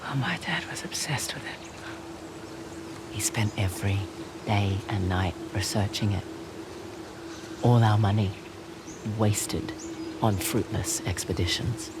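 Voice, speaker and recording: A woman's voice: extremely low pitch, slow pace, pauses often, heavy background noise. An actress playing a wry, teasing woman treasure hunter with an Australian accent in a video game, speaking casually and naturally. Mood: reflective.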